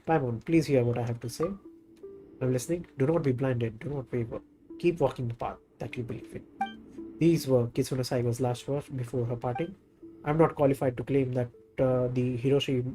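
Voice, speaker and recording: A man's voice: medium pitch, warm tone, low-quality recording. Man reading game dialogue aloud over music. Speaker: game streamer.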